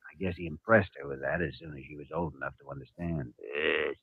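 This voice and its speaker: An actor playing an earnest, firm hospital doctor in a 1950s radio drama, theatrical and emphatic, on a tinny vintage recording. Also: male voice, extremely low pitch, steady tone, quick pace, husky, dry recording, full character voice.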